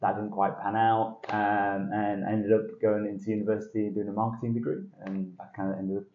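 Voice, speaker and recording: A man's voice: fairly low pitch, level tone, brisk, slight background noise. A man, a podcast guest talking casually and self-deprecatingly about his path. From northern England. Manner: chatty, self-deprecating, with frequent restarts and light laughs. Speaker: guest, sales director. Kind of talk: casual interview conversation. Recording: dull lo-fi sound in an echoey room.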